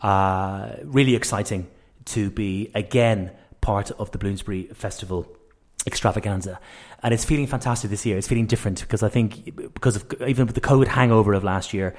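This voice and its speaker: A man hosting a radio interview in a relaxed, encouraging chat style, enthusiastic and affirming, recorded at a radio station. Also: on the low side, brisk.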